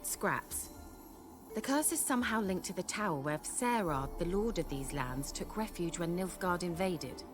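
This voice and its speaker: An actress playing a vain, sharp-tongued sorceress in a video game, speaking in a haughty, wry, coaxing manner. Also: female voice, lowish voice, expressive, unhurried. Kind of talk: video game cutscene acting. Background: game soundtrack music.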